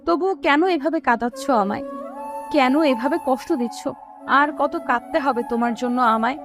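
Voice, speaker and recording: Woman playing lovesick young woman, girlish, high-pitched, expressive, audio story, prominent music. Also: normal speed. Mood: tearful pleading.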